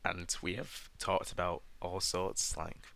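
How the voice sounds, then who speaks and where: A man's voice: low voice, flat delivery, slow, vocal fry, pushed and bright. A British man hosting a casual podcast chat with friends; he laughs often and stumbles into sentences. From Britain. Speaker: podcast host.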